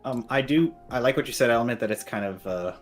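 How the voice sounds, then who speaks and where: A man's voice: mid-range voice, fast, faint music behind. A man talking casually as a panelist in a fan discussion podcast.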